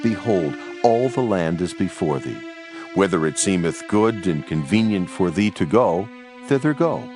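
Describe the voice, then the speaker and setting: A man playing an ancient Babylonian guard captain in a dramatized scripture reading, slow, formal and solemnly authoritative. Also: low-pitched, lively intonation, over music. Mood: solemn, commanding.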